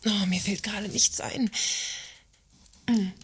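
An actress playing a scheming, defiant works councillor who feigns frailty in a satirical radio play, speaking in an arch, theatrical, husky way. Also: female voice, mid-range voice, steady tone, slow pace, slight background noise. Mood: defiant, mischievous.

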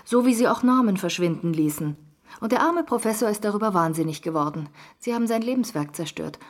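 A woman's voice: medium pitch, lively intonation, a little fast, natural voice. An actress playing an insistent journalist in a radio drama, firm and confrontational as she questions someone. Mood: accusing. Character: accusing, insistent female journalist.

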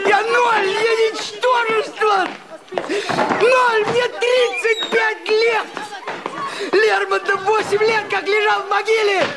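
A man's voice: extremely high pitch, little pitch movement, takes it slowly, heavy background noise, exaggerated voice. An actor playing a bitter, despairing man in his thirties, theatrical and self-pitying in a film drama. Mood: hysterical despair. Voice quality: strained shouting. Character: despairing, bitter 35-year-old married man in breakdown. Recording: film soundtrack with crowd behind.

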